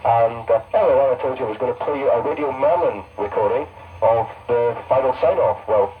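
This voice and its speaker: A man's voice: mid-range voice, fairly quick, faint music behind. A man presenting on radio in a casual, unpolished way, reading loosely from notes. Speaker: shortwave radio station presenter. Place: pirate radio station broadcasting from Scotland. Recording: tinny, distant shortwave radio broadcast.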